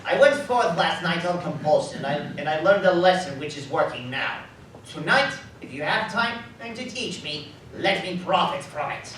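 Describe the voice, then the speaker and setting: An actor playing a humbled, timid old miser in a live radio drama, speaking deferentially and haltingly. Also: male voice, fairly high pitch, animated, a bit bright. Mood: humble, earnest. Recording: echoey hall, distant mic, background noise.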